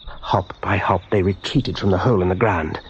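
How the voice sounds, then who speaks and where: A man's voice: low-pitched, moderate pace, husky. A man narrating a radio drama as a storyteller, with vivid, suspenseful delivery. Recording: dull 1980s radio recording.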